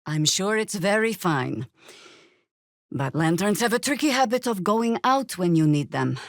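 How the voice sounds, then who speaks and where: Fantasy game druid veteran, female: world-weary, wry, very slow and measured, close dry sound. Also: medium pitch, animated, dry recording. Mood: grave, reflective. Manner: dry, sardonic, measured delivery. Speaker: video game voice actor. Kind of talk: scripted game character dialogue and spell calls.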